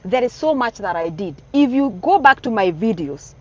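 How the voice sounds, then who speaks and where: Kenyan lady vlogging advice, kinda high voice, dry muffled sound, says things twice. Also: very animated, moderate pace, darkish tone. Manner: earnest, repeats herself, emphatic warnings. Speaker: vlogger giving advice to newcomers. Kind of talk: casual advice vlog.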